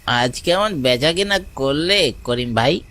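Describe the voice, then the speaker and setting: A man's voice: medium pitch, lively intonation, slow, bright, forceful tone, full character voice. A man playing a village fisherman, the younger companion in a cartoon story, in acted dialogue. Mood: glum chatting. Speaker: supporting character.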